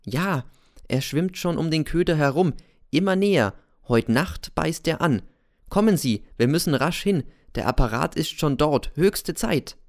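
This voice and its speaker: A man's voice: fairly high pitch, lively intonation, unhurried, pitched above the performer's own voice. A man reading aloud and voicing a sly, secretive older man who talks with urgent, insinuating eagerness.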